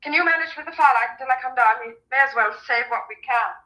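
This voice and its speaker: An actress playing an anxious, hospitable daughter caring for her sick father in a radio drama, speaking in halting, hesitant phrases. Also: high-pitched, bright, forceful tone, low-quality recording. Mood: uneasy, practical. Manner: hesitant, halting speech with repeated words.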